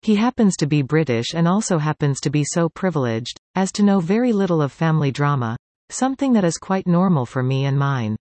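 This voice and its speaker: Woman in her 40s reading her own essay, crisp careful consonants, dry close sound. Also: low voice, big pitch swings, fairly quick, a little mellow, no echo. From Canada. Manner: careful, clearly enunciated. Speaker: personal essay reader. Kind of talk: reading a personal essay aloud.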